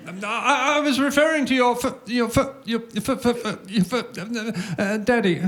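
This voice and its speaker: A man's voice: very high-pitched, moderate pace, fairly bright tone. A man acting in a live radio play as a timid, henpecked husband and father who stammers and dithers, flustered. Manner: heavily stammering, flustered, hesitant. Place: stage before a live audience.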